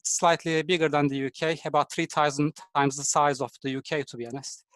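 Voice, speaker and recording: Brief: Turkish-accented male engineer, slightly high voice, dry video-call sound, halting and friendly. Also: steady tone, a bit bright, no echo. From Turkey. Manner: halting, many fillers, friendly explaining. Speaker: design engineer giving a talk. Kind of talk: online presentation to pupils.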